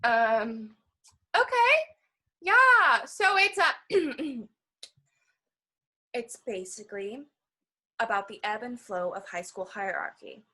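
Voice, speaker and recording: A woman's voice: on the high side, animated, slow pace, pauses often, pushed and bright, full character voice. A woman playing a nervous, eager young aspiring novelist in a stage play, hesitant, stammering and prone to rambling. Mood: flustered, earnest. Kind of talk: one-act stage play dialogue.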